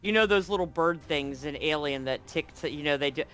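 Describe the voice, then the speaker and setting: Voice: mid-range voice, fairly quick, fairly bright tone, smooth. A game master running a live tabletop game, explaining the action and rules in a casual, conversational way. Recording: music behind the voice. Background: background music.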